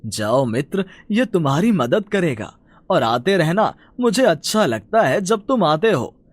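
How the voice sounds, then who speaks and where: A man voicing a kindly magical talking tree in a cartoon fairy tale, speaking slowly and deliberately with care. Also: on the high side, sing-song, no echo. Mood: kind, reassuring.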